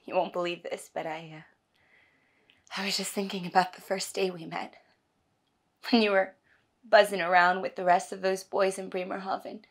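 A woman performing a stage monologue as a tender, wistful wife talking intimately and haltingly to her husband, often softly. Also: mid-range voice, level tone, lightly acted. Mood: wistful, fond. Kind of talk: theatrical audition monologue.

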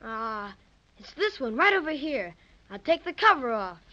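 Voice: high-pitched, very animated, normal speed, bright, forceful tone, exaggerated voice. A performer playing a tiny, cheery, obliging male elf helper in a 1930s radio fantasy. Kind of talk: 1930s children's radio acting. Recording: muffled 1930s radio recording.

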